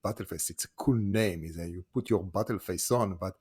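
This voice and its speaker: A man's voice: deep voice, fairly quick, a little mellow, breathy edge. A man hosting a casual interview podcast, asking long, friendly, rambling questions. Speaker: podcast host.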